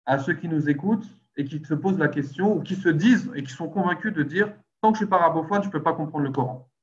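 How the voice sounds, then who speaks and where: Male host, casual chat, slightly high voice, dull muffled recording. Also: fast talker. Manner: conversational, explains then asks questions.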